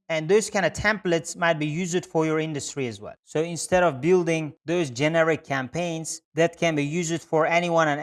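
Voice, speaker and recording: A man's voice: fairly high pitch, fairly bright tone. A man explaining things step by step as an instructor, in a methodical screen-share tutorial style with repeated phrasing. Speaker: instructor, Google Ads specialist.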